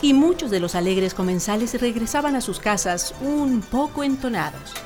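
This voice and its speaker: A woman's voice: fairly high pitch, animated, moderate pace, over music. A woman narrating a radio drama in a scripted storytelling style.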